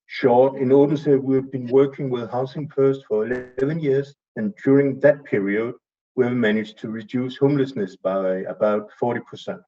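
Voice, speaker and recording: A man from Denmark with a Danish accent, giving a measured, somewhat halting introduction at an online event. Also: medium pitch, fairly even intonation, mellow. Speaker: city official introducing a speaker. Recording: distant muffled mic.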